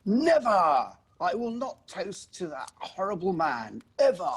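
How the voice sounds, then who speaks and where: An unforgiving family father acted by a man holds forth in a radio play. His voice is husky and his delivery is emphatic and declamatory. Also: high-pitched, big pitch swings, unhurried, exaggerated voice. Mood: bitter. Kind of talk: amateur radio play acting.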